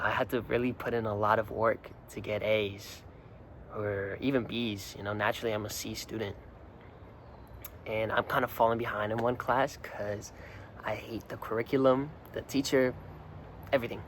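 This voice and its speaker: A young man in casual talk to the camera, slightly hoarse from singing the night before, rambling earnestly and repeating his words. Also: fairly low pitch, steady tone, moderate pace, fairly bright tone, a little hiss or hum. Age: young adult. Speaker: vlogger. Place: outdoors, hot and sunny.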